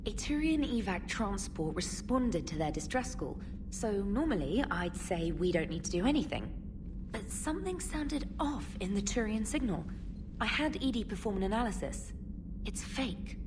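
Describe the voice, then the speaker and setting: A woman's voice: mid-range voice, sing-song, normal speed, natural voice. An actress playing an earnest, efficient female communications officer in a video game, articulate and professional. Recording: slightly distant, noisy game ambience.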